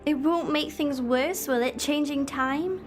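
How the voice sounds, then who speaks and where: Woman playing a young time traveller's sidekick girl, high pitched, questioning, natural chatty delivery, audio drama with soft music. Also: a little slow. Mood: uneasy.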